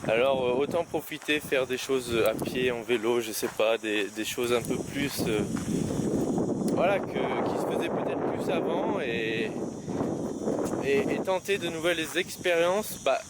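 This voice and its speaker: A man's voice: medium pitch, unhurried. A young man talking casually to camera while hiking outdoors, rambling with frequent hesitations and restarts. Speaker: vlogger. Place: outdoors on mountain trails in wind. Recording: loud wind noise on the mic.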